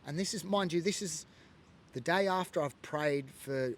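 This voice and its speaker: A man's voice: fairly high pitch, normal speed, a little hiss or hum. Earnest Australian man telling his story. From Sydney, Australia. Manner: earnest, emotional, rambling with many fillers. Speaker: former biker sharing his story. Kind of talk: personal testimony monologue.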